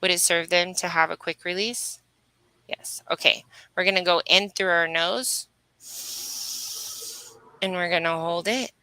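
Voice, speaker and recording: A woman's voice: on the low side, fairly even intonation, unhurried, pauses often, bright, forceful tone, smooth, no room sound, poor audio. A woman working as a spiritual medium, guiding a breathing and energy-healing session with repetitive, incantatory phrasing.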